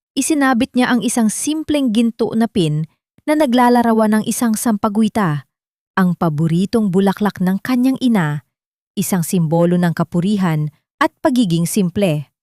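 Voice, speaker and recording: A woman's voice: medium pitch, a little mellow, no room sound. Filipino woman narrating, close dry sound. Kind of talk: scripted dramatic audio story narration.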